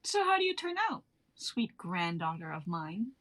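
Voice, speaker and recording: A woman's voice: lowish voice, big pitch swings, normal speed, fairly bright tone. A young woman playing a bubbly, teasing twenty-three-year-old wife from 1950 in a dramatic reading, breezy and old-fashioned in her phrasing. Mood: playful, warm. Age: young adult.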